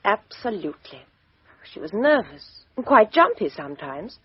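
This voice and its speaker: Husky, aloof young British woman. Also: medium pitch, very animated, normal speed, dry recording. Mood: eager, agitated. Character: cool, sharp-witted, aloof young English woman. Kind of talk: radio mystery drama acting. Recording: dull lo-fi radio drama recording.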